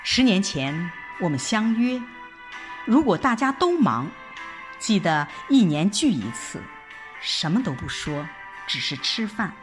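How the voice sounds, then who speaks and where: A woman reading a scripted first-person audio drama narration in an expressive, reflective storytelling manner. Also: on the low side. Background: background music.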